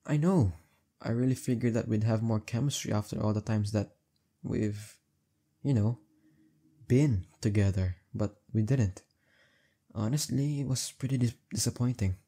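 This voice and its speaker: A young man acting as a heartbreaker friend in an intimate roleplay audio, talking casually and haltingly with emphasis and a soft husky edge. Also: fairly low pitch. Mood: awkward, let down.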